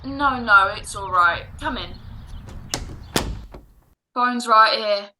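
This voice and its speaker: A woman's voice: a little high, slow, bright, forceful tone, slight background noise, exaggerated voice. A woman playing an earnest, restless, dutiful son in a radio drama. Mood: helpful, hushed. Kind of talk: classic radio drama acting.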